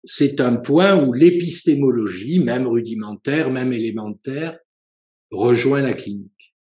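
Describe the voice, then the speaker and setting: A man, a professor and psychoanalyst, giving a seminar lecture in a measured, explanatory way, with frequent hesitations and self-corrections. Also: mid-range voice, darkish tone, echoey room. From France.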